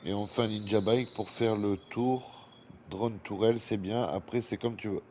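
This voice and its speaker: Low-voiced French man with a head cold. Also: steady tone, moderate pace, warm tone. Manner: chatty, breathes heavily at times. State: has a head cold, congested. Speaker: gaming YouTuber. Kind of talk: casual gameplay commentary. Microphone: desk microphone with fan running nearby. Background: faint fan noise.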